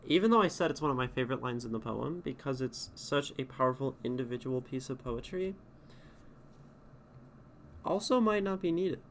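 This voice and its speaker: A man's voice: mid-range voice, animated, moderate pace, creaky, slight background noise. A man critiquing a poem on a live stream, in a casual way, thinking aloud as he rereads lines and tries out edits. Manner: thinking aloud, reading lines back and reworking them. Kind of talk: casual spoken poetry critique. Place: home streaming setup.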